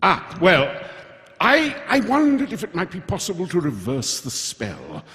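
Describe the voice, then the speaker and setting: Pompous, scheming official, wildly swooping delivery. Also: male voice, sits high, wildly swooping pitch, unhurried, fairly bright tone, exaggerated voice. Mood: uneasy, evasive. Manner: stammering, blustering, self-important. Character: nervous, pompous, scheming male official turned villain. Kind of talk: live radio theatre acting. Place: live stage in a hall. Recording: reverberant hall, live stage performance.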